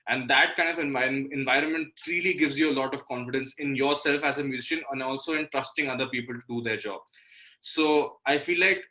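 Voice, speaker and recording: Male interview guest, Indian accent, bright tone, mid-pitched, conversational and informal. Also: a little fast, rough recording. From Delhi, India. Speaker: guest, indie musician. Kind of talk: casual interview talk.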